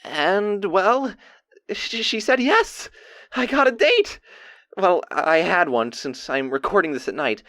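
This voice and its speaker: Bashful young stallion diarist, male actor: very animated pitch, awkward earnest rambling, dry close sound. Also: normal speed, hardly any pauses, no room sound. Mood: smitten, giddy. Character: bashful, excitable young male pony keeping audio diary. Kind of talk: casual diary monologue, acted.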